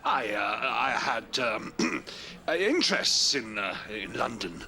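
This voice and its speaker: An actor playing a troubled, broken elderly father in an audio drama, halting, stammering and repeating his words. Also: on the low side, very animated, pushed and bright, full character voice. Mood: flustered, halting. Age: older. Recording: music behind the voice.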